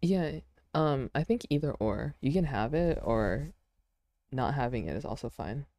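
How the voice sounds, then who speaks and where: Chill low voiced woman streaming, lots of likes and laughs, slow talker. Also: dry recording. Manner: relaxed, laughs often, many fillers. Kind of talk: casual chat while drawing.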